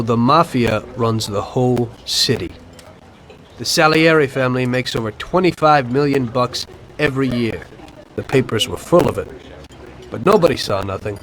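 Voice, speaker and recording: A man's voice: mid-range voice, slight background noise, rough recording. An actor playing a former cab driver turned mafioso who coolly tells his story to a detective in a game cutscene. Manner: calm, matter-of-fact storytelling. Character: male mafioso turned informant, calm and unrepentant. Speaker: narrator of his past. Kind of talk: video game cutscene acting.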